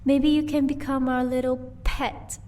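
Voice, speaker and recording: Woman acting a slow-spoken, playful vampire's wife. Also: sits high, flat delivery, a little mellow, recorded from a distance. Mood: gently menacing. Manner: playful, teasing, doting. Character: sweet-toned vampire's wife, playful, possessive, gleefully menacing captor. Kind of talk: audio roleplay acting.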